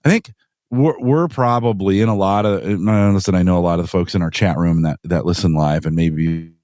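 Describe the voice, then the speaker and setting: A man co-hosting a podcast in casual chat, his voice deepened by a cold. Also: brisk, a little mellow, no room sound, studio quality. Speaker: podcast co-host.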